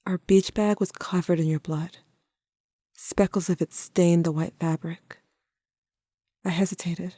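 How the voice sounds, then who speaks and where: A woman playing a brooding, guilt-haunted young narrator in an audio drama, speaking in a measured, confessional way. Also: lowish voice, steady tone, mellow, no echo, clean studio sound. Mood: haunted, dread.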